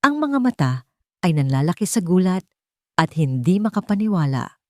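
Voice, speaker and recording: A woman narrates a scripted romantic drama as a storyteller. Her voice is on the low side, and the recording is dry, with no room sound around it. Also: big pitch swings, normal speed, no echo.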